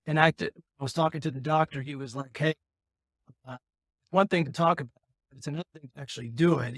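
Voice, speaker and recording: A man with a raspy voice hosting a podcast, talking candidly and earnestly about his own life, rambling with frequent restarts. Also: a little high, a bit bright, no echo. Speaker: podcast host. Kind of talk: candid personal talk.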